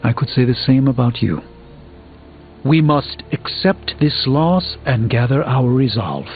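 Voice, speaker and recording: Game role: a proud, defeated sorcerer, formal and grave, mid pitch, narrow-band audio. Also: male voice, animated, measured pace. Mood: grave, resolute. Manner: formal, solemn, eloquent. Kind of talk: video game voice acting. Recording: tinny band-limited game audio with music.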